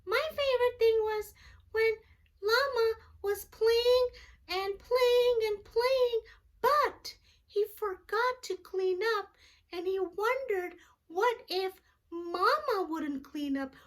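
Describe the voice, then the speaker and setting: A woman's voice: extremely high pitch, slow pace, pitched above the performer's own voice. A woman voicing a cheerful, eager childlike puppet friend who gives polite, simple answers in a children's storytime. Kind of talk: children's storytime character chat.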